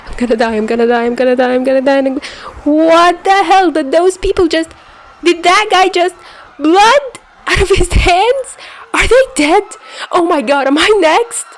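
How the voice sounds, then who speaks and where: A woman's voice: very high voice, moderate pace, voice pushed up. A woman voicing a panicky young commuter caught in a disaster, in an incredulous first-person monologue from a fan fiction story. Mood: terrified. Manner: incredulous, exclamatory inner monologue.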